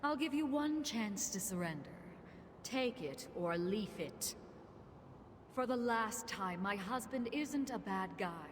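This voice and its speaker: A woman's voice: medium pitch, expressive, slow, slight background noise. A woman voicing a poised, haughty goddess of spring and the underworld in theatrical video game voice lines. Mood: dry, defensive. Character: poised goddess of spring and underworld, graceful, regal, slyly menacing.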